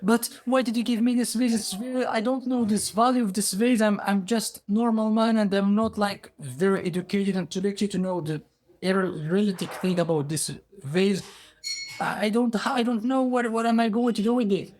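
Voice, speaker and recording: Humble, stammering peasant played by a male storyteller, pitched high, pleading, non-native English, oral folk tale. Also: steady tone, normal speed, a little mellow, a little hiss or hum, lightly acted. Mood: bewildered, humble. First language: non-native English speaker. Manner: stammering, protesting, repetitive.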